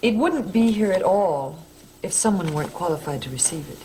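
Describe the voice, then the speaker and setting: A woman's voice: fairly low pitch, animated, a little fast, dark tone, a little hiss or hum, close to an everyday voice, low-quality recording. A woman acting in a gothic soap opera, playing a mysterious, solemn reader of ancient lore. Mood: solemn, mystical. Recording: old TV soundtrack with music.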